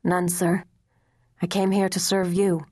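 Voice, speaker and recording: A woman's voice: lowish voice, little pitch movement, a little slow, darkish tone, slightly rough, dry recording, studio quality. A woman voicing an eager, polite young boy soldier who is cold and shivering. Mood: earnest.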